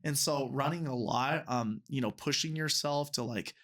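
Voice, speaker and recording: A man's voice: medium pitch, moderate pace, a bit bright. A man in his twenties, a referee and teacher, talking casually as a guest in an interview and rambling with lots of fillers. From United States. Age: 20s. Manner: chatty, rambling, many "you know" fillers.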